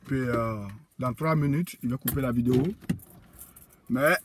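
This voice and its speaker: A man's voice: medium pitch, measured pace, a little hiss or hum. A man working as a real estate agent, giving a casual outdoor tour of land plots, with lots of hesitations and restarts. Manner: hesitant, full of fillers and restarts. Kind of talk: casual walking video tour.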